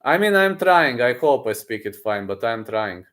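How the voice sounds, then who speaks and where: A man, a streamer chatting casually with his viewers, boastful and excitable, often repeating himself. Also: mid-range voice, normal speed. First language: Serbian. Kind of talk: casual livestream chat, reading messages aloud.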